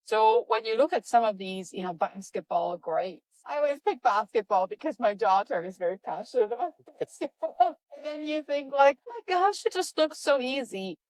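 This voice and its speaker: A woman's voice: dry recording, rough recording. A woman with a European accent speaks as a podcast guest, full of energy and emphasis. Her voice sits in a middle register. The sound is dry and close. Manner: energetic, upbeat, emphatic with lively pitch jumps. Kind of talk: enthusiastic interview answers.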